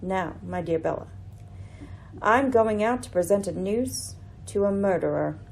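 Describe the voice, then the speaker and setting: A woman acting a shrewd, commanding lady detective in a radio mystery, speaking in a measured, formal, theatrical way. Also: medium pitch, gravelly, music in the background, poor audio. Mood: solemn.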